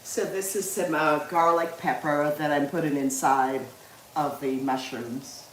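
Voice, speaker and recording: A woman's voice: sits low, steady tone, unhurried, reverberant, a little hiss or hum, rough recording. A woman, chatting casually with viewers as she cooks on a livestream, laughing easily. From Guyana. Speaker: livestream cooking host. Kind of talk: casual livestream cooking chat.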